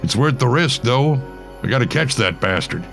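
A man voicing a friendly, rough-spoken killer who chats in a slangy, laid-back way. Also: mid-range voice, expressive, normal speed, a bit bright, faint music behind. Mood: easygoing. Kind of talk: storytelling.

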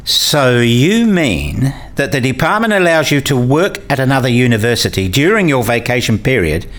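A man plays a naive researcher in a radio play, anxious about his career. His middle-pitched voice is highly animated, full of curious, incredulous questioning. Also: normal speed.

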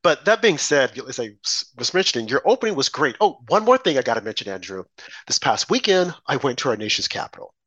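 A man co-hosting a political talk show, hoarse from a mild cold, telling rambling, animated stories. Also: mid-range voice, fast, no room sound, muffled recording. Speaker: podcast co-host.

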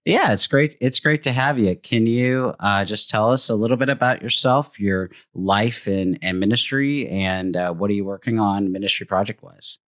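A man's voice: on the low side, normal speed, hardly any pauses, a bit bright, dry recording, studio quality. A man hosting a podcast interview, talking casually with many fillers and restarts. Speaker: podcast host.

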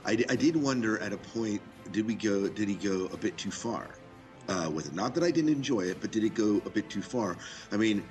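Deep-voiced man chatting over music. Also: expressive, brisk, a little mellow. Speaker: podcast co-host reviewing films. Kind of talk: casual movie review chat. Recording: music playing behind the voice.

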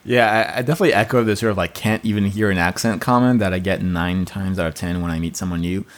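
A young man from France co-hosting a casual podcast chat, relaxed and joking, laughing often. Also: low voice, fast talker. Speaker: podcast co-host.

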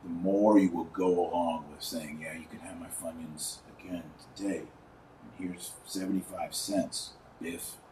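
A man hosting a podcast, giving live commentary and reading aloud in an emphatic, colloquial manner with dramatic pauses. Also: sits low, steady tone, warm tone. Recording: roomy, distant mic, faint background noise.

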